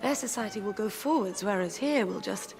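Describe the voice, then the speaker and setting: A woman's voice: mid-range voice, brisk, vocal fry, close to an everyday voice. A woman playing a poised, idealistic noblewoman in a period drama, outspoken and challenging. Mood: earnest, challenging. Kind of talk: naturalistic television period drama acting. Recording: music behind the voice.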